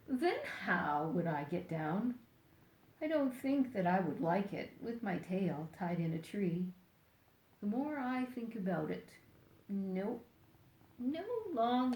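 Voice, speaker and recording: Older female storyteller, unhurried picture-book read-aloud, playful and direct with children, echoey room. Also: mid-range voice, darkish tone. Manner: deliberate, playful, addresses young listeners directly.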